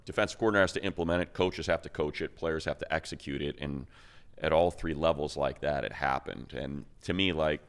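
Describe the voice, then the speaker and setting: A man with an extremely deep voice and a heavy creak at the edges co-hosts a sports show. He talks through the action casually, like a seasoned announcer off the clock. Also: fairly quick. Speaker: sports radio announcer and co-host.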